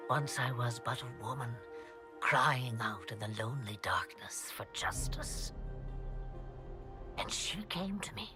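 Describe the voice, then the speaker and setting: A woman playing an ancient, knowing witch in a video game, deliberate and theatrical, with wry condescension. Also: a bass voice, animated, over music, full character voice. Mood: hushed, grave. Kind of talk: video game cutscene acting.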